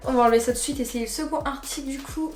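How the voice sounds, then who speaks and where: A woman's voice: high voice, fairly even intonation, very fast, reverberant, faint music behind. A woman with a cold chatting casually as she unboxes and tries on clothes, rambling and correcting herself. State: has a cold. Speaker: vlogger. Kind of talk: casual try-on haul chat.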